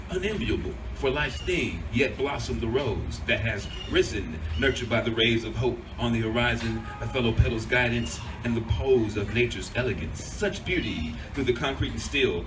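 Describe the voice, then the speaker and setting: A man reading poetry aloud as spoken word, with deliberate, dramatic phrasing. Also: mid-range voice, noisy background. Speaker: poetry reader. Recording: distant mic, music behind.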